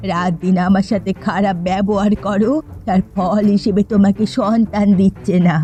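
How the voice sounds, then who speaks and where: A woman's voice: mid-range voice, little pitch movement, moderate pace, warm tone, a little hiss or hum, lightly acted. A woman voicing a quarrelsome, grieving old village mother-in-law in a cartoon. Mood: bitter, scolding. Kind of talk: Bengali moral-tale cartoon dubbing.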